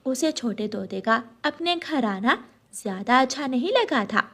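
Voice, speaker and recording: Woman narrator, high voice, scripted storytelling for children, cartoon tale. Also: lively intonation, measured pace, a bit bright.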